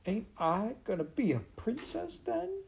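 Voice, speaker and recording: A man voicing a simple, downtrodden storybook serving girl with rough, uneducated speech and a plaintive manner. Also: very high voice, very animated, unhurried, a little mellow, pitched above the performer's own voice, heavily acted. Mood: hopeful, hurt.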